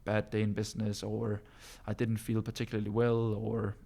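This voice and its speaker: A Danish man whose first language is Danish, talking casually in a live Q&A about business, often calling his listeners bro and filling pauses with um and uh. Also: lowish voice, monotone, vocal fry, mellow. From Denmark. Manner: casual, calls listeners bro, many ums and uhs. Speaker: business coach answering viewer questions.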